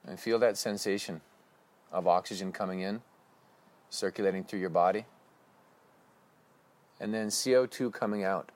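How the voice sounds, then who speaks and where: A man leading a guided breathing meditation with slow, calm, instructive cues. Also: low voice.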